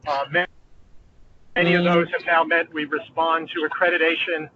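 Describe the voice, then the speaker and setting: A man with an East Coast US accent, a dean of education, giving fluent, measured, opinionated remarks on a conference call. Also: a little high, little pitch movement. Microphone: phone or conference line. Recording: tinny phone line with faint noise.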